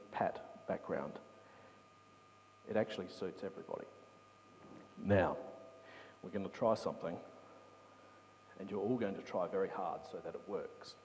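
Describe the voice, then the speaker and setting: A man's voice: fairly low pitch, warm tone, slight background noise. A man giving a conversational Bible talk to a congregation, often checking in with his listeners. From England. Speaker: preacher. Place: church hall. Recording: long hall reverb.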